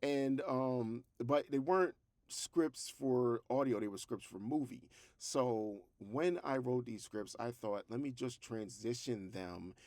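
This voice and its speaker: A man's voice: medium pitch, takes it slowly, darkish tone, no echo, clean studio sound. A man talking casually as a vlog host, conversational and rambling, with a self-deprecating way of telling things. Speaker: host, fiction podcast creator. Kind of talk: casual vlog talk to camera.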